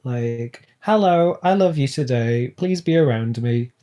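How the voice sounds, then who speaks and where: A man chatting casually as a podcast guest, playful and expressive. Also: mid-range voice, unhurried. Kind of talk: casual chat.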